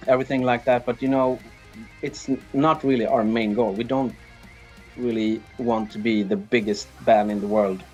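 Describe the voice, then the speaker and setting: A middle-aged man with a Swedish accent answers interview questions casually. He is easygoing and feels his way through sentences at a medium pitch. Faint music sits behind his voice. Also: fairly even intonation, normal speed, darkish tone. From Sweden. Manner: hesitant, easygoing. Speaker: interviewed band drummer.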